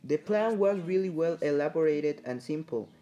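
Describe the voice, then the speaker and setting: Mellow guy w a reading history narration, guitar music behind him. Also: a little high, expressive, normal speed, highs cut off. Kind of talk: scripted narration of a student-style history newsreel. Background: guitar music under the voice.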